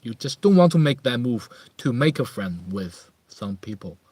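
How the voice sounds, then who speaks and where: A man from northeast China with a Chinese accent chats casually as a livestream host, musing haltingly and often repeating his phrases. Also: medium pitch, sing-song, darkish tone, husky, no echo. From Harbin, northeast China. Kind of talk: casual live chat monologue.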